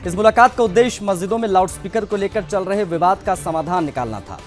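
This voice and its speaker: A man's voice: sits high, quick pace, faint music behind. A man anchoring a news broadcast, presenting reports and putting questions to a correspondent.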